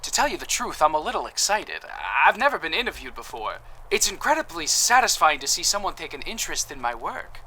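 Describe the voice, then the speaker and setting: A man's voice: a little high, sing-song, brisk, few pauses, pushed and bright, slight background noise, rough recording. An actor playing a cheerful, boastful male apothecary suspect in an audio drama, with a chipper, self-satisfied, theatrical manner. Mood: smug.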